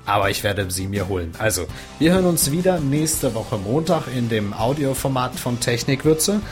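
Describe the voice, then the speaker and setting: A man hosting a laid-back tech podcast at an average pitch, chatting easily and filling pauses with little sounds. Faint music plays behind his voice. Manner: relaxed, chatty, with filler sounds. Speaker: podcast host. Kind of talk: casual tech podcast interview.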